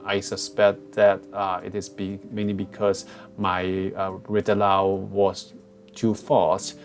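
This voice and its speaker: A man with a Hong Kong English accent talks through exam advice in a relaxed, meandering way, pausing on fillers and restarting his sentences. His voice sits fairly low, recorded on a cheap headset mic. Faint music plays underneath. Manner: frequent fillers and restarts, plain phrasing. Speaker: test prep tutor. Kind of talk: casual explainer walkthrough.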